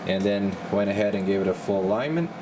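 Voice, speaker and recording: Low-voiced man, noisy recording. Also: animated, quick pace. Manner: folksy, rambling, informal. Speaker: radio repair technician and video host. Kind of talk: casual hands-on repair walkthrough. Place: indoor repair workbench. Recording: noisy room with clear background hum.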